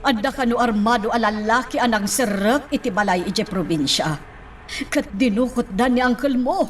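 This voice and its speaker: A woman's voice: a little high, normal speed, close to an everyday voice. An actress with a Filipino accent playing an anxious, pleading mother in a radio drama, drawing out her words. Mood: grave. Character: anxious, pleading middle-aged mother, protesting innocence. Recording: distant mic with clear background noise.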